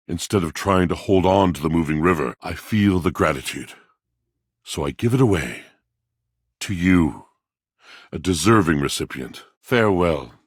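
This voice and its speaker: A man voicing a grandiose, poetic washing machine character in a video game, with husky delivery and florid, courtly, old-fashioned phrasing. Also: low voice, no echo, clean studio sound. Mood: grateful, solemn. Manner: theatrical, florid, formal old-fashioned diction.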